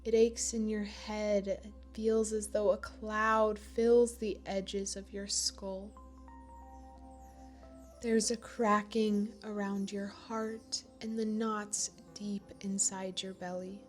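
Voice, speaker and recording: A woman leading a guided meditation in a gentle, soothing manner, with pauses between phrases. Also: medium pitch, flat delivery, faint music behind. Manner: slow, gentle, soothing delivery with long pauses.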